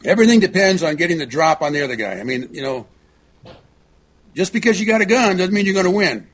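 A man speaking as a talk show guest, halting and repeating words, often clearing his throat. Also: high voice, sing-song, fairly bright tone.

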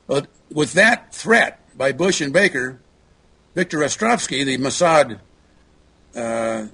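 A man speaking as a talk show guest, halting and repeating words, often clearing his throat. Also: a little high, animated, sharp tone.

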